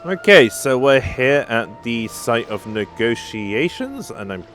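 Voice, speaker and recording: A woman's voice: a bass voice, big pitch swings, slow pace, soft background music. A woman giving casual gameplay commentary in murmured, hesitant asides. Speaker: gaming streamer.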